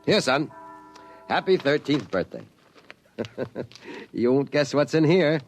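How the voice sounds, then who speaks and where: A man's voice: mid-range voice, lively intonation, faint music behind. An actor playing a teasing, folksy middle-aged American father in a 1950s radio drama. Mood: teasing, fond. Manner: brisk, folksy, joshing.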